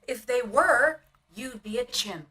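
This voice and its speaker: A woman's voice: on the high side, slow, bright, forceful tone, slightly rough, exaggerated voice. A woman voicing a brash, bossy, sardonic young woman in a motion comic. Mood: indignant, scornful.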